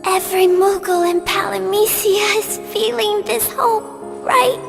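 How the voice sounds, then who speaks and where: Tiny high-pitched moogle creature. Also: female voice, little pitch movement, a little slow, vocal fry, exaggerated voice. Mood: fading, tender. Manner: cutesy, earnest, childlike speech. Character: small childlike loyal moogle companion, brave and sweet. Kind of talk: video game cutscene acting. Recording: long reverb, music behind.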